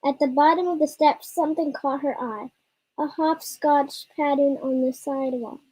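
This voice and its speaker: A young girl reading a story aloud haltingly, stumbling and repeating words. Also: high voice, steady tone, mellow, poor audio. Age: child. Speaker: child co-reader of a storytime.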